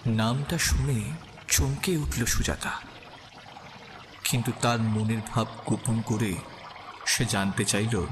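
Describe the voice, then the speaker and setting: A man's voice: on the low side, fairly even intonation, slow pace, pauses often. A man narrating a scripted suspense audio story as the storyteller. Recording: long reverb, clear background ambience noise.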